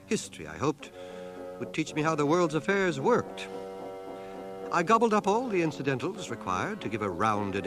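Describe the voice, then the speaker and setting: Dry bookish guy telling his life story, wry and literate, voice rises and falls a lot, old radio play with music. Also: on the high side. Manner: measured, literate, wry delivery. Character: dry, self-deprecating bookish man narrating his memoirs. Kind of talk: radio drama narration and dialogue. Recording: 1980 radio drama, home cassette transfer.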